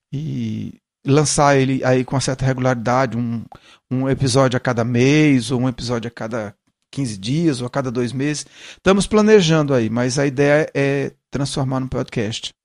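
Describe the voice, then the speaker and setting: A middle-aged man with a Northeastern Brazilian accent softened by São Paulo, chatting as an interview guest and repeating words as he searches for the next one. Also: mid-range voice, moderate pace. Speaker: guest, musician. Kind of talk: casual interview conversation.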